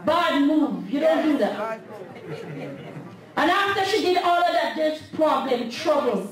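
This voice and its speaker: Woman preaching in a church, very high voice, slow sing-song delivery, echoey hall sound from far off. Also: lively intonation, some chatter behind. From Canada. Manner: impassioned preaching, half-sung, with shouted peaks. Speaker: guest speaker at women's convention. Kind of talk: sermon. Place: church sanctuary. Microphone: handheld mic.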